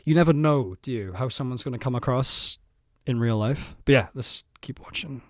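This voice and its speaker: South Welsh man, 30s, casual reaction commentary, nervous, self-mocking, quick to laugh and swear. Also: mid-range voice, no room sound, clean studio sound. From South Wales. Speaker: vlogger reacting to his own footage.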